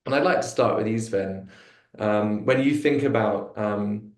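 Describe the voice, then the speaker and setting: A man's voice: on the low side, a little slow. A man hosting a business webinar over a video call, hesitant with many fillers and restarts. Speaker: webinar host. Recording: echoey room.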